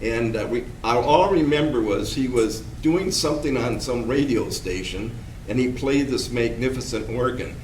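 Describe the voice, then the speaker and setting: An older man in the audience at a public talk, reminiscing about his great-grandfather in a halting way with many restarts. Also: mid-range voice, darkish tone, a little hiss or hum. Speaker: audience member, descendant. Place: meeting room at a public talk. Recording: roomy hall, mic at a distance.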